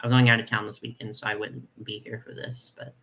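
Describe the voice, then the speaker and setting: A young man with a nasal voice hosting a casual live chat, reading comments and talking in a chatty, soft way with lots of fillers. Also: medium pitch, little pitch movement, a little fast, gravelly. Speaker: doll collector channel host.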